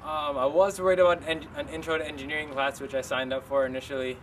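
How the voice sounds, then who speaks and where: A young man from the Philippines, a student golfer, answering interview questions in a soft, hesitant way with many pauses. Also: a little high, level tone. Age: young adult. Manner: soft-spoken, hesitant with frequent ums. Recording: distant mic, street noise.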